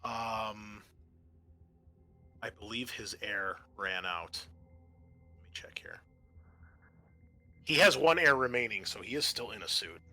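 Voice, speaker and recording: A man working as game master of a tabletop roleplaying game, narrating in a conversational, halting way. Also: on the low side, lively intonation, sharp tone.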